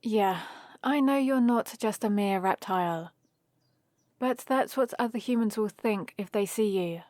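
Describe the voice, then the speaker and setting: A woman's voice: mid-range voice, measured pace, dry recording, studio quality, lightly acted. A woman playing a playful, teasing young heroine adventurer who chats and rambles fondly in a one-sided ASMR roleplay. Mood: explaining, coaxing. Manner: chatty, rambling, gently teasing.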